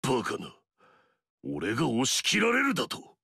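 A man's voice: medium pitch, huge pitch swings, normal speed, vocal fry, sharp tone, slightly rough, no echo, studio quality, exaggerated voice. An actor playing an arrogant, fierce male warlord in a video game, swaggering and barking battle cries.

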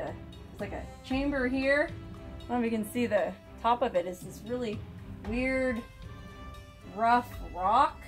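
A young woman vlogging as she explores a narrow cave, chatty and full of excited exclamations, recorded on a handheld camera. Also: fairly high pitch. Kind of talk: casual vlog narration.

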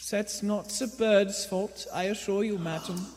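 A man's voice: high voice, steady tone, unhurried. A man playing a kindly, sly old shopkeeper with courteous, wordy speech in a live radio play. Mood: placating, polite. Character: kindly old bird-shop keeper, secretly a bomb maker. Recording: echoey theater hall.

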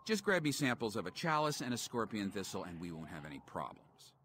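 An actor playing a gruff, hostile boss in a video game, curt and condescending toward newcomers. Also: male voice, on the low side, sing-song, brisk, a bit bright, a little hiss or hum. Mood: scornful. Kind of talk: dramatic video game dialogue.

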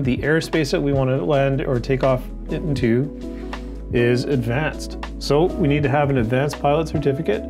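A middle-aged man giving a conversational tutorial as an instructor, often asking questions and answering them himself. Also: mid-range voice. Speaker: drone training instructor. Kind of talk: explanatory tutorial, walking through regulations on screen. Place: indoors in an office by a window. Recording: music playing behind the voice.

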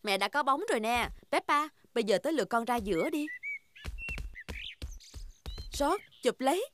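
Cartoon mother pig: encouraging, high, very animated, music underneath. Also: fast. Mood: playful. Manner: expressive, encouraging. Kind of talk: animated children's show dub. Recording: music behind the voice.

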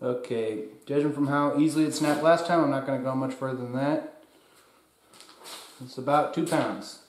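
A man's voice: mid-range voice, normal speed, slight background noise. Young man in an echoey garage. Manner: plain, thinking aloud while working. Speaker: DIY tester. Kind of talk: casual workshop vlog. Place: garage workshop. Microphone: far camera.

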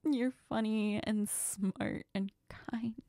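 A woman playing a heartbroken, self-doubting young best friend in an intimate audio role-play, tearful and sniffling, speaking haltingly in a near whisper. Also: on the high side, steady tone, mellow, dry recording, clean studio sound. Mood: tearful, affectionate.

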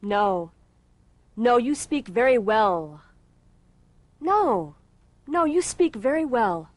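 A woman leads spoken English drills, saying each phrase slowly and with careful articulation. Her pitch sits a little high and swings widely to show the intonation. The sound is dry and close. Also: sing-song, no room sound. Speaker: English teacher. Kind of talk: slow pronunciation drills.

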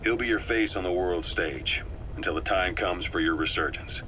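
A man acting a calm, calculating middle-aged intelligence operative in a video game, speaking in a measured, deliberate way. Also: low voice, slight background noise, rough recording. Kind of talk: dramatic game dialogue, briefing.

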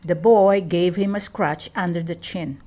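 A woman narrating a children's storybook as an expressive read-aloud, stressing key words with deliberate drama. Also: lowish voice.

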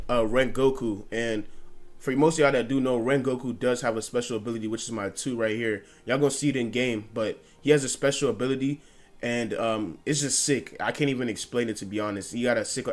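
A young man giving casual gameplay commentary with friends, excitable and foul-mouthed, slangy and often repeating himself. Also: medium pitch. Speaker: gaming YouTuber.

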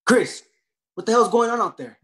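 A man's voice: high-pitched, lively intonation, moderate pace, a bit bright. Blunt, streetwise young male cook. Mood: annoyed. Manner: blunt, slangy. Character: indignant, streetwise young taco cook, hurt and defensive. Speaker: actor in a table read. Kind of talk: casual dramatic table read. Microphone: video call.

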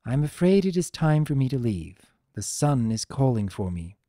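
A narrator voicing a wise, weary female pony princess, the elder sister, speaking in a measured, solemn and gentle way for a story reading. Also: mid-range voice, very animated, no room sound, clean studio sound, natural voice. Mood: gentle, amused.

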